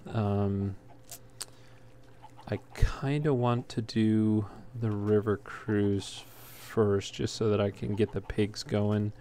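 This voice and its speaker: A man giving casual gameplay commentary in a halting way, as if thinking aloud while he plays. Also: lowish voice, steady tone, dark tone. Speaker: let's player, host. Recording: clear background noise.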